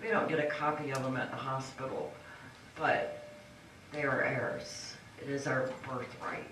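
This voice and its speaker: A woman's voice: extremely low pitch, fairly even intonation, a little slow. A woman candidate delivers a fiery, folksy campaign speech with emphatic punches. She is heard from a distance in a very echoey hall. A faint hiss runs underneath. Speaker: candidate for county supervisor. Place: large echoey meeting hall.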